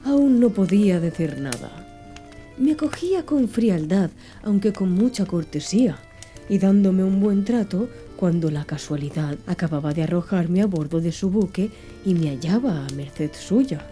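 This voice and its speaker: A woman reading an audiobook in a soft, slow, hushed ASMR style, with faint background music. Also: lowish voice, lively intonation. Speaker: audiobook narrator.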